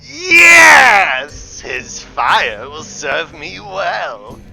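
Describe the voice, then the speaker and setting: A tyrannical dark dragon lord roars threats in a gravelly, sharp voice, played by a man in a fan reading. He drags every word out slowly, swinging wildly up and down in a high, piercing register. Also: big pitch swings, exaggerated voice, poor audio. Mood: menacing. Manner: booming, theatrical menace.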